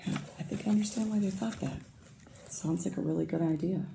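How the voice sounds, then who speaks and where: A woman teacher reading a picture book aloud to young children, pausing to comment and ask them questions. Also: on the low side, gravelly, noisy background.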